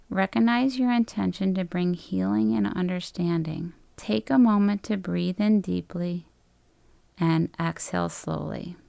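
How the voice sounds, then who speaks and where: Slow, low-voiced woman guiding meditation. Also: vocal fry, no echo. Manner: calm, soothing, gentle delivery with long pauses. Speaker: meditation guide. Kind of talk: guided meditation, scripted.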